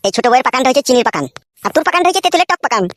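High-pitched, blustering cartoon husband. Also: male voice, big pitch swings, rapid-fire, a bit bright, no echo, studio quality, full character voice. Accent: rural West Bengal. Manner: bickering, blustering, crude. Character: brazen, irritable village husband with two wives. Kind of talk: comedy cartoon dub, quarrelling.

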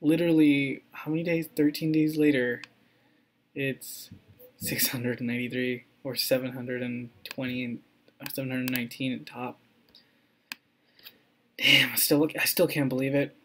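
A man's voice: mid-range voice, measured pace, pauses often. Young guy vlogging over his screen, rambly and hyped, lots of restarts. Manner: rambling, excitable, many ums and restarts. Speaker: crypto mining vlogger. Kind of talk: casual screen-recording update.

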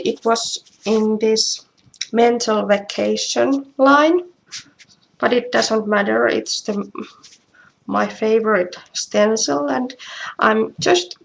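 A woman from Finland with a Finnish accent, giving a casual craft tutorial in halting, self-deprecating English with a creaky voice. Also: fairly high pitch, expressive. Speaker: craft demonstrator. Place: indoors at home. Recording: noisy live stream with clicks.

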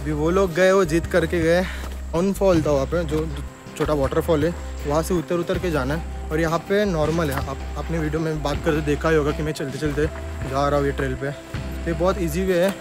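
A young man vlogs on a forest trail in a slightly raised voice. He chats straight to his viewers, filmed on a phone held while walking. Music plays clearly beneath him. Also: fairly high pitch, fairly even intonation, moderate pace. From Maharashtra, India. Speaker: travel vlogger. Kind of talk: casual vlog narration to camera. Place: outdoor forest trail near a waterfall. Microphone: phone camera held while walking. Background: background music.

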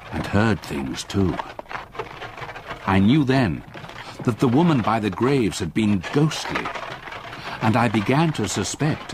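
Slow, deep, haunted older Englishman. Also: background noise. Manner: grave, deliberate, brooding. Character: haunted older English solicitor recalling terror. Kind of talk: dramatic first-person radio narration.

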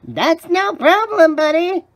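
A man's voice: very high-pitched, animated, slow pace, fairly bright tone, heavily acted. A man voicing a tiny scheming cartoon villain who is theatrical, gloating and falsely friendly. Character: tiny scheming male villain posing as friendly magic spatula. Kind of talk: cartoon fan voice acting.

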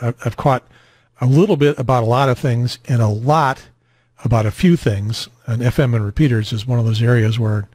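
An American man in his sixties hosting a radio net in casual chat, rambling and halting, on a close mic in a small home room. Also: medium pitch, a little mellow, husky, no echo. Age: 60s. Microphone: close boom studio mic, headset on.

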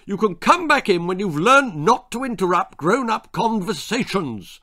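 A man voicing an aristocratic father with a short temper, booming and growling orders in a storybook tale. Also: very animated, moderate pace, pushed and bright, exaggerated voice. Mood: stern. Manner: roaring, growling, commanding.

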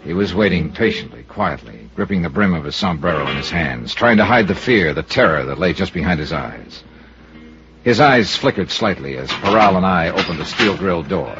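A hard-boiled American investigator in an old radio drama. His low voice moves expressively through terse, wry lines. The recording is dull and dated. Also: lively intonation, moderate pace, music in the background. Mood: grave, narrating. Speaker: lead actor and narrator. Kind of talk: 1950s radio detective acting.

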